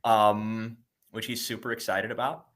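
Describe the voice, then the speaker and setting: A middle-aged man from the United States speaks as a guest in a conversational interview. His voice sits a little low but sounds bright and forceful, and he stumbles through frequent restarts and repeated words. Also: flat delivery, unhurried.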